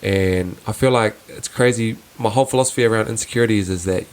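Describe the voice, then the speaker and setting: Soft-voiced young man, earnest and low. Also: fast talker. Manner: earnest, reflective, with frequent restarts and fillers. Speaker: podcast host. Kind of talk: casual reflective solo podcast.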